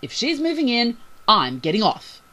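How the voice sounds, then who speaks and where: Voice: medium pitch, expressive, normal speed, slightly rough, low-quality recording. A storyteller voicing a headstrong young woman, indignant and questioning. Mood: scornful, yelling. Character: headstrong, worried young woman, scornful when angry.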